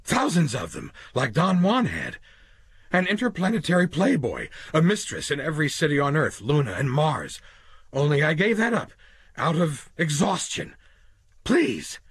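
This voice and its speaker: A man's voice: fairly high pitch, big pitch swings, normal speed, fairly bright tone, slightly rough, dry recording, studio quality, pitched above the performer's own voice, heavily acted. A man voicing a desperate hunted man who pleads for his life in a strained, raised voice. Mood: pleading.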